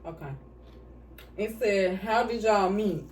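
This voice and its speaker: A woman's voice: mid-range voice, slow pace. A woman chatting casually during a mukbang, blunt and swearing freely while she eats. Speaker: vlogger answering couple questions. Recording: echoey room, mic at a distance, faint hiss.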